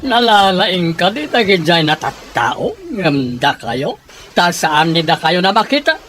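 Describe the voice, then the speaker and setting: A man's voice: high-pitched, big pitch swings, a little slow, fairly bright tone, soft background music, full character voice. A man playing an irascible, domineering rich boss in a radio drama, blustering and impatient. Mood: irate, scornful.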